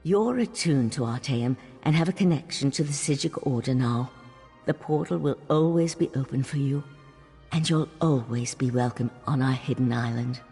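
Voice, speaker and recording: An actress playing a solemn, dutiful mage of a secretive order in a fantasy game, earnest and measured. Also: female voice, rumbling low, mellow, slightly rough, full character voice. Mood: earnest, resolved. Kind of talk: fantasy video game voice acting. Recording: long hall reverb, music behind.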